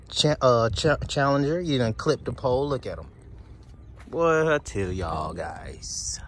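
A man giving a casual, teasing walkaround of wrecked cars at an outdoor auction lot, filmed on a handheld phone, talking to viewers as y'all. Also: fairly low pitch. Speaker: car flipping vlogger. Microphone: handheld phone camera. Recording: phone video with outdoor noise.

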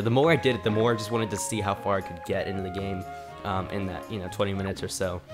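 A man in his twenties with a cold, rambling through a story while casually commenting on his gameplay. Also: lowish voice. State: has a cold. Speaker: let's play host. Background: game music.

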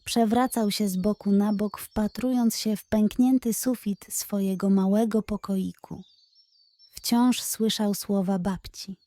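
Slow, mellow woman reading bedtime stories. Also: medium pitch, steady tone, no room sound. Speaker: children's story narrator. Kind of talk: scripted bedtime story narration.

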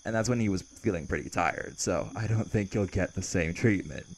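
Guy doing a cocky vampire in an audio rp, flirty and casually threatening, crackly fry in the voice, faint night insects. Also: deep voice, lively intonation, moderate pace. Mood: cheerful menace.

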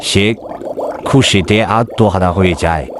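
Slow-paced man narrating a folk tale. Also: sits low, noisy background. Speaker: storyteller.

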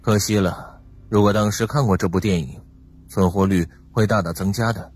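An actor performs a calm, calculating young man in an audiobook drama. His low voice has a husky edge and a measured, serious delivery, recorded dry over faint music. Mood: pensive, grave.

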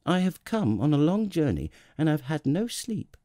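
Man doing a high, timid little boy prince. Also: big pitch swings, moderate pace, mellow, dry recording, clean studio sound. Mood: embarrassed, weary. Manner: timid, polite, abashed.

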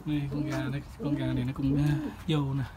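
A man casually narrating a tour of his hillside poultry coop, relaxed and unhurried, with frequent hesitations. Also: mid-range voice, a crowd in the background. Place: outdoor chicken and pigeon coop on a hillside. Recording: loud outdoor farmyard noise. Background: chickens clucking, roosters, pigeons.

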